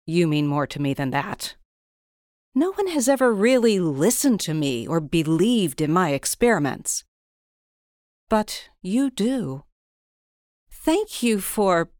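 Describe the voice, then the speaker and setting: A woman playing an earnest female scientist in an audio drama, with a sincere, halting delivery. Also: on the low side, no room sound, clean studio sound.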